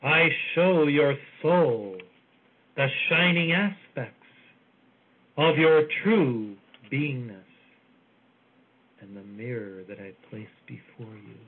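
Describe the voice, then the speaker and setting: A man delivering a channeled spiritual message in a soft, solemn, measured way with long pauses. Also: medium pitch. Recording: phone-like audio with faint hiss.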